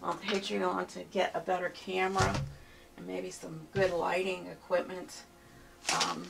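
Soft-spoken woman, slow, gravelly. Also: on the low side, background noise. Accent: Pittsburgh. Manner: unhurried, murmurs to herself while painting. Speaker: painting teacher. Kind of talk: step-by-step painting tutorial. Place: home art studio, indoors. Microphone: camera mic from behind, far off. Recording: distant camera mic with faint music.

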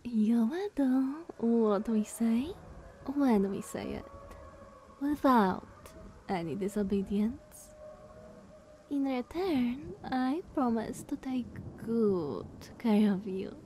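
A woman playing a dominant vampire sister in an ASMR roleplay, speaking softly and breathily with sweet condescension. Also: fairly high pitch, expressive, slow, slight background noise, rough recording. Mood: commanding. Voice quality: soft, breathy, often whispered.

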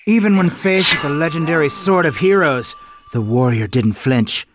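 A man voicing an excitable, boastful panda kung fu fan in an animated game, swinging between mock-epic and flustered. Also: on the high side, moderate pace, background noise, close to an everyday voice. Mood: boastful, mock-heroic. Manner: self-aggrandizing, mock-epic one moment, flustered the next.

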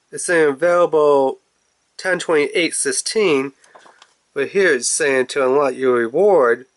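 Man, stuffy nose, rambling casual talk, game unboxing vlog, mid-pitched voice, filler-heavy, indoors at home, phone or camera held over a table. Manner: rambling, filler-heavy, talks to camera while handling the case. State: stuffy nose, congested, clearing throat. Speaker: gaming channel host.

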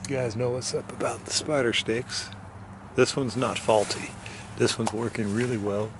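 A man's voice: mid-range voice, fairly even intonation, moderate pace, noisy background. A man vlogging as he explores an abandoned old house, chatting and joking as he goes, recorded on a handheld camera. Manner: chatty, joking, wondering aloud. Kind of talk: casual exploring commentary. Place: abandoned house, indoors and overgrown yard.

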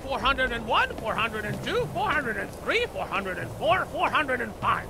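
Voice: medium pitch, sing-song, background noise. An actor voicing a talkative, absent-minded rat creature in a fantasy game, rambling through tall tales and counting as it goes. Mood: rambling, musing. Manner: rambling storyteller, pausing to count numbers. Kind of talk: scripted comic fantasy game storytelling.

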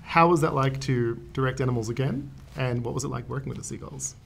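A man in his thirties interviewing two guests at a press junket, chatty and quick to laugh. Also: medium pitch, gravelly, slightly rough. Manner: chatty, laughs often, friendly questions. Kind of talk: casual interview chat. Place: hotel press junket room, indoors.

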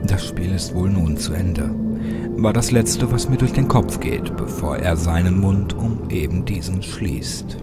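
A man narrating a horror story as a dramatic audiobook, with a suspenseful, measured delivery. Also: sits low. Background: loud music under the voice.

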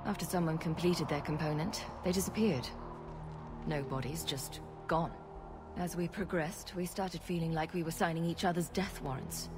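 An actress playing a serious, guarded scientist who leads refugees in a video game, speaking in a measured, earnest way. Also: female voice, sits low, fairly even intonation, close to an everyday voice. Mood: grave, guarded. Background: game music.

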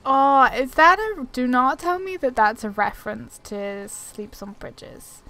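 Slow, higher-voiced woman commentating. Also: lively intonation, bright, forceful tone. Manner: easygoing, rambling, thinking aloud. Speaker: video game player and commentator. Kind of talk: casual gameplay commentary.

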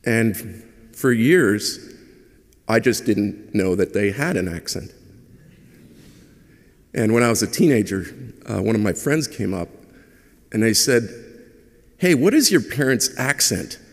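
A man's voice: lowish voice, lively intonation, measured pace, pauses often. A middle-aged man whose first language is Hungarian gives a chatty, humorous eulogy at a church lectern microphone. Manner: conversational and humorous, with hesitations. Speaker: son giving a eulogy. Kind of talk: funeral eulogy. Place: large church. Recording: long church reverb.

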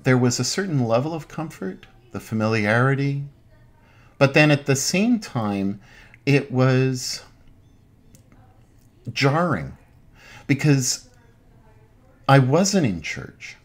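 A middle-aged man, an Anglican priest, giving an informal online sermon from his home den in measured, halting phrases. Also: mid-range voice, big pitch swings. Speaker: Anglican priest, parish pastor. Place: small home den with bookshelves.

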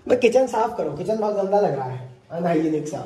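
A man's voice: high-pitched, lively intonation, brisk, dark tone. A young man from India filming a casual vlog at home, joking and teasing his friends while he cooks. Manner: joking, teasing, laughs often. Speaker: vlogger. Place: small home kitchen and room indoors. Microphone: handheld phone camera. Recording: echoey room, faint noise.

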